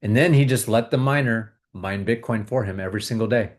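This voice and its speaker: A man hosting a video, calmly guiding viewers through a tapping exercise with repeated phrases. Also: lowish voice, a little fast. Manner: calm, repetitive, coaching tone. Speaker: host leading a tapping exercise. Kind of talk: guided tapping exercise and money talk.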